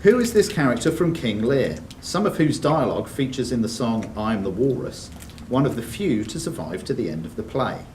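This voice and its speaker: A man's voice: medium pitch, big pitch swings, normal speed, darkish tone. A man working as a quiz master, reading questions aloud to contestants. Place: indoors in a club room, mic at a distance. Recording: roomy hall, distant mic, faint noise.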